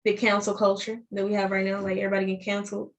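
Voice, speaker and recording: A woman's voice: medium pitch, completely flat delivery, very fast. A young woman with a Southern US accent, a podcast guest talking animatedly in casual chat and often restarting her phrases.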